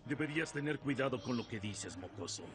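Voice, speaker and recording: A man's voice: mid-range voice, lively intonation, brisk, natural voice. A man playing an arrogant, cruel bandit boss in an anime dub, threatening and mocking. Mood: menacing. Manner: threatening, mocking, bursts into cackling and shouting. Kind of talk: Spanish anime dub acting. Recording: loud music behind the voice.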